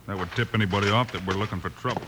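An actor playing a calm, watchful, laconic lawman hero in a 1950s western radio drama. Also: on the low side, very fast, natural voice. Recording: noisy old western radio recording.

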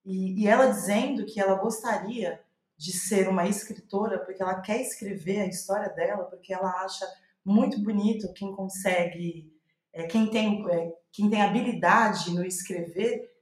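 A Brazilian woman talks reflectively about her own writing in a clearly echoey room. Her pitch sits in the middle, and she speaks thoughtfully, searching for the right words. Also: normal speed. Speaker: playwright, guest. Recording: roomy, echoing sound.

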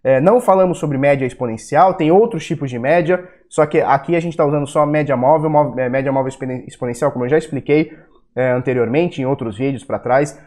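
A man's voice: medium pitch, quick pace, darkish tone. A young Brazilian man with a Brazilian Portuguese accent, hosting a casual market-analysis video, blunt and profane, with heated rants. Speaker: crypto video host.

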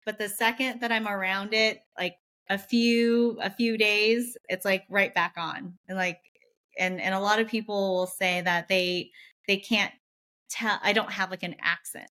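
A woman's voice: mid-range voice, fairly even intonation, measured pace, pushed and bright. A woman from Vietnam, a guest in a casual interview, talking in a chatty way with many fillers and restarts.

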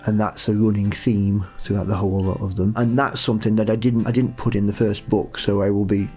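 Man, low voice, explanatory and conversational, writer in a relaxed interview, music behind. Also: a little fast. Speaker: audio drama writer. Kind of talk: casual interview chat.

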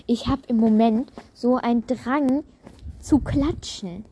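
A woman's voice: on the high side, slow, mellow, slightly rough. A female co-host chatting casually with a friend on a podcast, with a giggly manner. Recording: noisy outdoor recording in rain.

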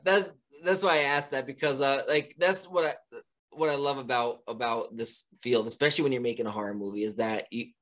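A man with a loud voice hosts a relaxed interview, chatty and quick to laugh. His talk is loose and full of fillers and restarts, at an ordinary mid pitch. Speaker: interviewer, indie horror filmmaker. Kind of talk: casual conversational interview.

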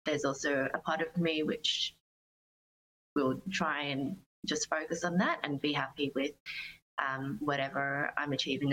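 A woman's voice: low voice, fairly bright tone, slight background noise, poor audio. A woman giving reflective, earnest interview answers as a guest musician, phrasing her thoughts carefully. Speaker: guest, singer-songwriter.

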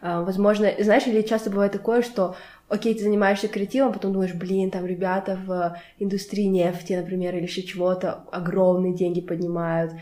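A woman's voice: mid-range voice, little pitch movement, a little fast, mellow. A young woman hosting a casual interview, conversational and probing with follow-up questions. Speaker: podcast host interviewing a guest. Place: indoors in a home. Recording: echoey room.

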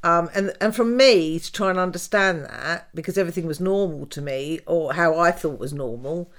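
An older woman, telling the story of her life in a casual interview, with soft laughs and fillers. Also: fairly low pitch, moderate pace. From Canada. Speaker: guest, host's mother.

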